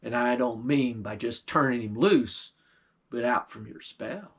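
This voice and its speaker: A male storyteller voicing Jack, a kind, clever young man who talks in a gentle, earnest way. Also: mid-range voice, measured pace, breathy edge, pitched below the performer's own voice. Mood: firm.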